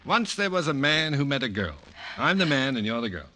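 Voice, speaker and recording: An actor playing a flustered, jealous husband in a 1950s radio comedy, exasperated, sarcastic and stammering. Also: male voice, medium pitch, very animated, brisk, sharp tone. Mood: nervous, evasive. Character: flustered, jealous husband caught between two wives. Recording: noisy, crackly vintage 1950s radio recording.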